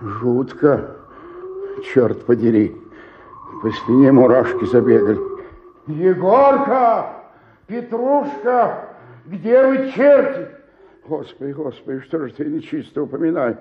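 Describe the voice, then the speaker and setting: An actor playing a lonely, drunken comedian of sixty-eight in a theatrical radio play, agitated and lamenting. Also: male voice, fairly high pitch, expressive, takes it slowly, pauses often, highs cut off, background noise. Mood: frightened, self-reproaching. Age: older. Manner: agitated, lamenting, muttering to himself. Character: drunken, lonely, frightened 68-year-old comic stage actor. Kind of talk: theatrical radio drama acting.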